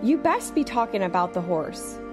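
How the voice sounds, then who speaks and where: A woman's voice: medium pitch, sing-song, moderate pace, music in the background, lightly acted. A woman playing a sensible, exasperated queen in a comedic audio drama, questioning sternly in formal phrases. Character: exasperated, sensible queen scolding her foolish husband.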